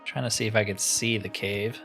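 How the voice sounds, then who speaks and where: A man's voice: on the low side, fairly even intonation, brisk, gravelly, fairly bright tone, soft background music. A man giving casual, informal let's play commentary on a roleplaying video game, thinking aloud as he plays.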